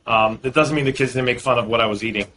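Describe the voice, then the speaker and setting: A man's voice: medium pitch, fast talker, a bit bright. Male guest, lawyer, explains at length, slight noise behind. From Boston, US. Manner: fluent, talks in long explanatory runs with frequent fillers. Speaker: guest, real estate lawyer. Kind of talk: podcast interview.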